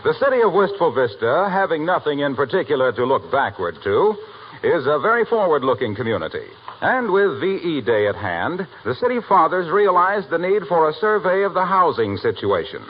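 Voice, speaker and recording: A male American announcer reads formal 1940s radio copy with a very animated rise and fall. The old recording is dull, with faint noise underneath. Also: fairly high pitch, fairly quick.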